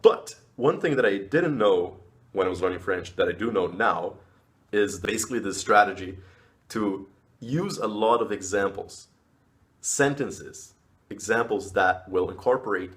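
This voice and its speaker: Upbeat man, lowish voice. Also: a bit bright. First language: Portuguese. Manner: upbeat and encouraging, pitch jumps up on key words. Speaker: language vlogger. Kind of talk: casual advice talk to camera.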